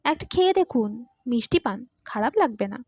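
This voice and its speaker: A woman's voice: fairly high pitch, expressive, moderate pace, darkish tone, no room sound, lo-fi sound, pitched below the performer's own voice. A woman voicing a courteous, talkative man of about forty who confides his story wistfully in an audiobook. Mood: polite, coaxing. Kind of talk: audiobook character dialogue.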